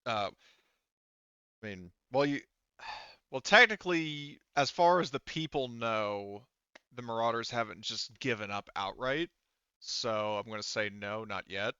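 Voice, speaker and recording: Bright, clear-voiced man thinking aloud as game master. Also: medium pitch, no room sound, dull audio. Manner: halting, thinking aloud, informal. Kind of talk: casual tabletop game explanation.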